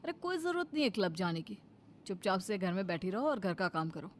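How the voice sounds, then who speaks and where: A woman's voice: mid-range voice, sing-song, fairly quick, slight background noise, lightly acted. A woman voicing a tired, dismissive young husband in a cartoon story, speaking in an exasperated, defensive manner. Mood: stern.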